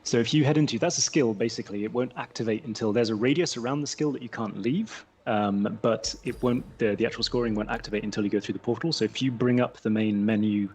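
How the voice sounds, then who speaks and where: A man's voice: medium pitch, darkish tone. A man from Scotland co-hosting a game livestream, explaining tips in casual chat. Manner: quick, helpful explainer. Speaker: game stream co-host.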